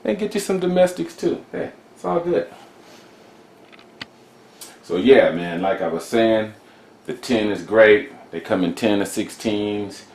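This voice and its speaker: A man's voice: on the low side, expressive, unhurried, long pauses, a little mellow, roomy sound. A man casually rambling as he shows cigars from his humidor in a vlog. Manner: laid-back, rambling, chatty with fillers. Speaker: cigar reviewer. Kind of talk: casual show-and-tell vlog. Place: room beside his humidor.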